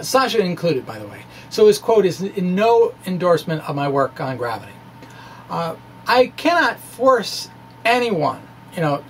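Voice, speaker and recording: A middle-aged man doing a dramatic reading of a science blog, halting and wry, with quirky asides and stammered restarts, in a home basement. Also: high voice, a little hiss or hum, poor audio. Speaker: amateur physicist presenting a blog.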